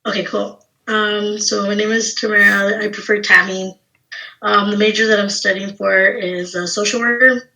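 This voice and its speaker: A woman's voice: mid-range voice, steady tone, moderate pace, pushed and bright, low-quality recording. A woman student introducing herself on a video call, a bit shy and giggly.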